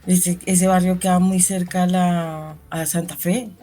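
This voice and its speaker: A woman with a Bogotá accent recounts her childhood as an interview guest. She speaks in a lowish voice, circling back and restarting her thoughts as she goes. Faint music plays under her. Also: flat delivery, measured pace, warm tone. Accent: Bogotá Spanish. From Colombia. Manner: reflective storyteller, many restarts and fillers. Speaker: interview guest, community educator. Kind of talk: life-story interview.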